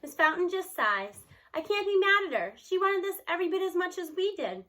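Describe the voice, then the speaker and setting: Bright, high-voiced woman reading a story. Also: a little fast. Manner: animated, acts out the characters' dialogue. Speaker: reader of a children's novel read aloud. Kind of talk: expressive storybook narration.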